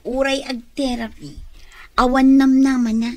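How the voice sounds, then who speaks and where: An actress playing a scornful, scheming accomplice in a radio drama, disdainful and with a Filipino accent. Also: female voice, high-pitched, level tone, unhurried, dark tone.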